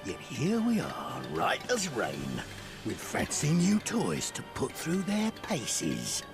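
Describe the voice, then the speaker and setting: A villainous commander in a video game, gloating and gleefully cruel. His gravelly voice swings wildly up and down in a very slow, mocking drawl, over loud music. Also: fairly high pitch, wildly swooping pitch, full character voice. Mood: smug gloating. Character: gleefully sadistic villainous male enemy commander, boastful.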